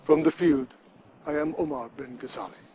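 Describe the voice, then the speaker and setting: A man's voice: on the high side, moderate pace, highs cut off, heavy background noise, natural voice. An actor playing a solemn, partisan male war correspondent delivering a scripted news report in a video game. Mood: grave, scornful.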